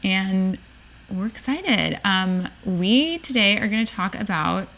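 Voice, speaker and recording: A woman in her thirties chats on a book podcast from her couch at home, upbeat and eager. Her voice has a gravelly fry, and her talk is loose, with many "like"s and "um"s. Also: mid-range voice, expressive, slow pace, fairly bright tone. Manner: upbeat, enthusiastic, lots of "like" and "um". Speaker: podcast co-host. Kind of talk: casual chat. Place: living room couch at home.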